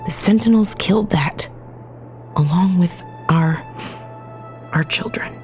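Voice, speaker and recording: An actress playing a determined, battle-worn resistance fighter and wife in an audio drama, plain-spoken and earnest. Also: female voice, on the low side, slow, a little mellow, soft background music. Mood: resolute, pained.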